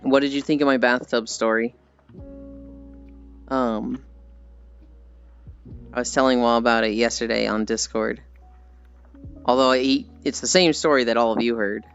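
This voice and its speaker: A man with an American accent talks casually to his livestream audience in a clean, smooth voice. He rambles and pokes fun at himself with the odd swear word. The audio is a little muffled, and music plays faintly behind him. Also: mid-range voice, steady tone, unhurried, pauses often. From United States. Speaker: livestreamer chatting with viewers. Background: background music.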